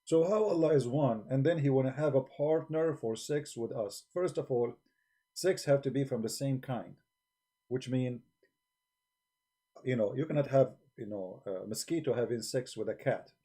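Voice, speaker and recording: A man's voice: mid-range voice, moderate pace, mellow, breathy edge. A man hosting a live stream, challenging and blunt, speaking broken English into a desk microphone. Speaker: livestream host. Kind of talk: argumentative live-stream talk.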